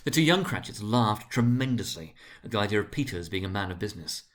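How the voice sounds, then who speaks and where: A man narrating a story as a dramatic reading, theatrical and expressive. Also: on the low side, quick pace.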